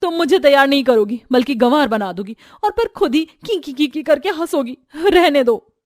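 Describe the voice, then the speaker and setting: A woman voicing a haughty, sharp-tongued young city daughter-in-law in a family story, snapping scornfully and mixing in English words. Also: high-pitched, expressive, normal speed, dry recording, studio quality, pitched above the performer's own voice. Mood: angry. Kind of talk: Hindi family storybook voice acting.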